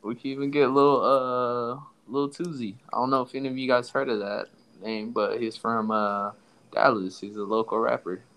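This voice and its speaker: A man's voice: medium pitch, little pitch movement, normal speed, fairly bright tone. A young man from Somalia hosting a casual podcast, loose and joking with his co-host.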